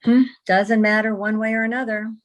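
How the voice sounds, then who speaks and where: A woman playing a brisk, skeptical but caring niece in an old-time radio comedy, dryly dismissive. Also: a little high, little pitch movement, lightly acted, poor audio. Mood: skeptical, curious. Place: home, online video-call production.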